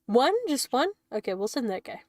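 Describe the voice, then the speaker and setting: A woman's voice: on the high side, expressive, moderate pace, no room sound, clean studio sound. A young woman streaming a game, chatting casually, thinking aloud and giggling often. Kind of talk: casual game commentary, reading dialogue aloud.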